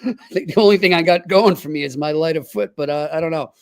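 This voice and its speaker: A man hosts a sports podcast. His voice is a little higher than average for a man. He chats casually as he moves the show along from question to question. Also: quick pace, darkish tone. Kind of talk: casual chat, reading fan questions.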